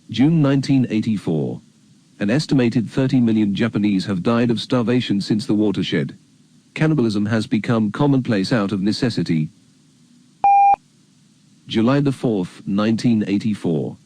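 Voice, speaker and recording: Low, mellow male documentary narrator. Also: poor audio. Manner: grave, measured, matter-of-fact reading. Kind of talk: scripted documentary narration, archival educational filmstrip.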